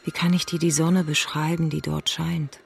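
An intimate, lower-voiced visionary revolutionary woman. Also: little pitch movement, moderate pace, creaky, noisy background. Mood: rapturous, hushed. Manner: intimate, lyrical, often sinking to a hush. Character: visionary woman revolutionary, tender, wry, weary yet rapturous. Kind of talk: German radio drama acting.

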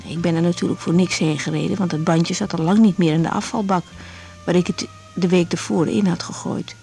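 A woman's voice: medium pitch, darkish tone, close to an everyday voice. Woman acting a frank young woman doctor. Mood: reflective, tender. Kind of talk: naturalistic 1980s radio drama acting.

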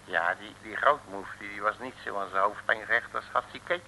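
Cheeky, very bright-voiced detective. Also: male voice, on the low side, normal speed, a little hiss or hum, low-quality recording. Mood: cajoling, bragging. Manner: folksy, colloquial, cajoling, calls listener brother. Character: cheeky, wheedling streetwise male detective. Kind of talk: 1950s-60s radio serial acting.

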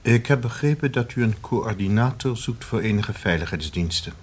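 An actor in a radio play as a stiff, humourless male security officer, formal and curt, with a slightly husky voice. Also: fairly low pitch, animated, moderate pace. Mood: formal, assured. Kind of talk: radio drama acting.

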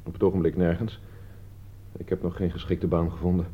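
An actor playing a defensive thirty-year-old idler under questioning, speaking evasively in a radio play. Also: male voice, deep voice, flat delivery, a little fast, slight background noise, close to an everyday voice. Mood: guarded, evasive. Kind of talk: Dutch radio drama dialogue. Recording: dull, band-limited old radio recording.

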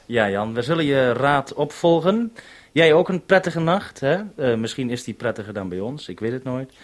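A man's voice: medium pitch, animated, moderate pace. A man speaking as a radio operator, using call procedure and repeating phrases to be understood. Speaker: radio programme maker, base operator. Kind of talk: two-way radio procedure talk.

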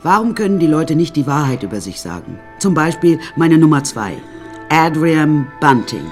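A sardonic, much-widowed woman in a radio drama. She tells of her life with flippant, deadpan coolness and bristles when challenged. Soft music lies behind her voice. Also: low voice, moderate pace. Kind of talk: radio drama narration and dialogue.

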